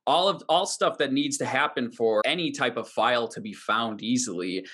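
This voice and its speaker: A man's voice: mid-range voice, moderate pace, a bit bright, slightly rough. A man acting as interviewer, speaking in a conversational questioning style.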